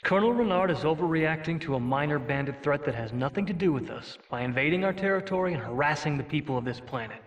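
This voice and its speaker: Game villain's heir: young, arrogant, impatient noble, lively pitch swings, echoey with faint noise. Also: male voice, quick pace, hardly any pauses. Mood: impatient, aggressive. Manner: haughty, impetuous. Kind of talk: scripted video game cutscene acting. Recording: roomy, distant, faint background noise.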